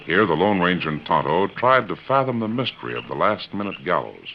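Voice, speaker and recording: A man's voice: low-pitched, big pitch swings, brisk, a little hiss or hum. A man delivering dramatic scripted narration for an old-time radio western. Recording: muffled old radio recording.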